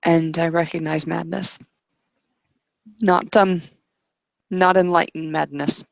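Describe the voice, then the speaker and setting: Low, creaky-voiced woman improvising roleplay. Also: flat delivery, slow, no room sound, poor audio. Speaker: tabletop roleplaying player. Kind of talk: improvised narration and in-character roleplay.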